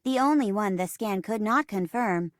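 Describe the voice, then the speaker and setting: A woman voicing an earnest, logical robot in a theatrical space drama game, speaking in a stilted, deliberate way. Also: medium pitch, expressive, no echo, studio quality, lightly acted. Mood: measured, logical. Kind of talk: theatrical sci-fi game voice acting.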